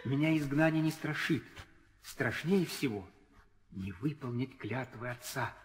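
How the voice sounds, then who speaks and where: An actor playing a dutiful, steadfast young prince in an old theatrical audio drama, speaking in solemn declamation. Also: male voice, mid-range voice, expressive, unhurried, slight background noise, close to an everyday voice. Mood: solemn resolve. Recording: old radio play, hall reverb.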